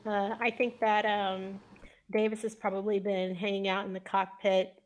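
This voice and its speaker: A woman's voice: mid-range voice, level tone, moderate pace. A woman describes her character's actions casually during a roleplay game. Her voice is mid-range. She feels her way through sentences hesitantly, leaning on "like" as she improvises. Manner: hesitant, peppered with "like".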